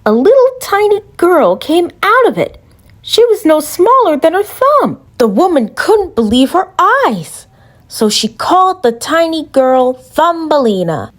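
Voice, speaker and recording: A woman's voice: high-pitched, big pitch swings, a little slow. A woman narrating a fairy tale in a casual, chatty way with playful asides. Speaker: storyteller.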